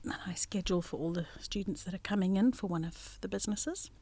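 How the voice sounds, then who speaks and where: A woman's voice: medium pitch, fast talker, warm tone, breathy edge. A woman in her sixties, a business administrator, introducing herself in a casual family interview with teasing, playful humour.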